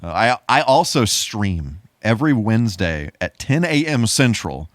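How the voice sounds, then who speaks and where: A man's voice: fairly low pitch, big pitch swings, moderate pace, no room sound, clean studio sound. A man hosting a podcast in a casual, playful style with a goofy bit of showmanship. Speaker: podcast host.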